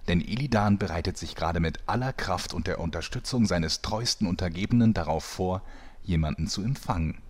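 A man's voice: sits low, a little fast. A man delivering scripted narration as the narrator of a parody audio drama.

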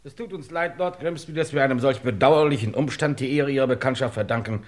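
An actor as a courteous chief inspector, medium pitch. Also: male voice, close to an everyday voice. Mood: courteous, formal. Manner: polite, measured, ceremonious. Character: courteous, formal Scotland Yard chief inspector. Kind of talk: 1950s German radio play acting.

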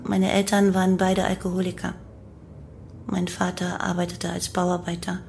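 A woman's voice: fairly low pitch, monotone, moderate pace, vocal fry, darkish tone, a little hiss or hum. A woman playing a young female cult follower who recounts her life and crimes matter-of-factly in a German radio play.

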